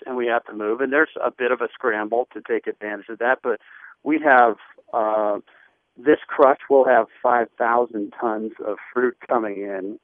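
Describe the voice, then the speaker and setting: A man's voice: medium pitch, dry recording. A man in the wine trade answering interview questions in a loose, chatty way with many fillers. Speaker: wine business guest. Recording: phone-like radio line.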